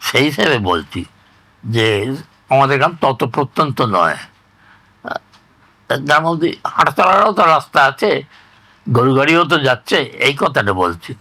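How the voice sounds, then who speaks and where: An elderly man in his eighties or older with a West Bengali accent, recalling village life in a halting, rambling, conversational way and often repeating phrases. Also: mid-range voice, very animated, pushed and bright, dry recording. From rural West Bengal, India. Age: 80s or older. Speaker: interviewee recounting oral history. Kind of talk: conversational reminiscence.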